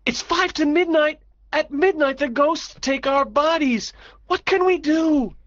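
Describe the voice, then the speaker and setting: A woman's voice: high voice, animated, a little slow, no room sound, muffled recording. A woman voicing a frightened, anxious boy in a dramatised storybook, with worried exclamations.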